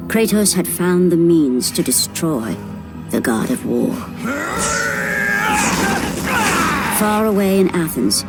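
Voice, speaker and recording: An actress playing a grave storyteller, narrating a mythic video game tragedy in a solemn, portentous way over music. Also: female voice, on the low side. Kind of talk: dramatic scripted game cutscene narration. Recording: loud music behind the voice.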